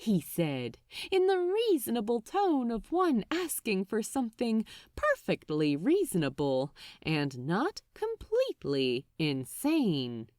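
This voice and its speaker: Audiobook narrator, woman: expressive scripted fiction read, slightly slow, recorded close and dry. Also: a little high, no echo.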